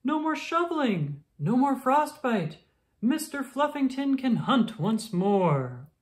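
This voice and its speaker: Excitable small-town townsfolk from a picture book, put on by a reader. The voice jumps up high and exclaims, demanding and insistent. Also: takes it slowly, exaggerated voice. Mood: jubilant.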